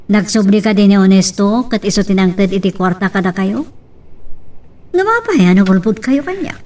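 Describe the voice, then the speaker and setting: Casting: actress as inquisitive, distrustful daughter, Ilocano Filipino accent, medium register, recorded a bit far from the mic. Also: female voice, medium pitch, lively intonation, normal speed, darkish tone, lightly acted. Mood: questioning, suspicious. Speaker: actor in an Ilocano radio-style drama. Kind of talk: amateur audio drama acting.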